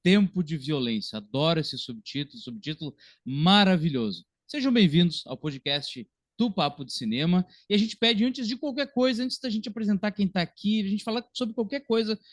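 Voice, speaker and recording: A man's voice: high-pitched, lively intonation, normal speed, no echo, clean studio sound. A Brazilian man in his thirties hosting a podcast, talking about film in an informal, talkative, conversational way. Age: 30s. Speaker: podcast host.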